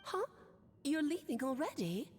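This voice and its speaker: A doting, lighthearted witch from a video game. Her voice is fairly high and very lively in pitch, teasing and affectionate, over faint music. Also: measured pace. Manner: lilting, affectionate, playful.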